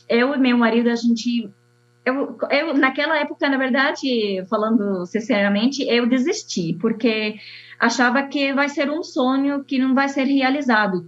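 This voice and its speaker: A young woman from Syria with an Arabic accent, an architect telling her story slowly and haltingly, with many fillers. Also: on the high side. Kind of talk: personal testimony. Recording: dull lo-fi sound.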